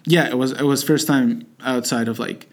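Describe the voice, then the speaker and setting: A young man from Egypt whose first language is Arabic, answering interview questions in a loose, conversational way. He hesitates often, restarts his sentences and fills pauses with "like" and "um." His voice sits at an ordinary, middle pitch for a man. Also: fairly even intonation. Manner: hesitant, many fillers and restarts, laughs softly. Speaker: interviewee, student.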